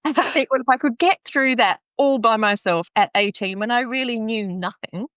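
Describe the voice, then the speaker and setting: A woman in her fifties with an Australian accent, chatting as a podcast guest. She tells her stories in a lively way and laughs often. The sound is dry and a little dull. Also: fairly high pitch, normal speed, no echo. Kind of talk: podcast interview.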